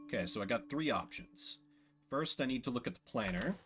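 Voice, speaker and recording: A man's voice: mid-range voice, expressive, a little fast, a bit bright, soft background music. A man giving casual game commentary as a streamer, thinking aloud in an informal way. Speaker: streamer playing and voicing a game.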